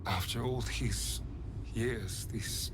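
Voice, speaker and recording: An actor playing a weary, wry vampire spawn in a dramatic video game, with halting, deliberate phrasing. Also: male voice, fairly low pitch, noisy background. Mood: numb, overwhelmed. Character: weary male vampire spawn, wry, newly freed after centuries. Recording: game music behind the voice.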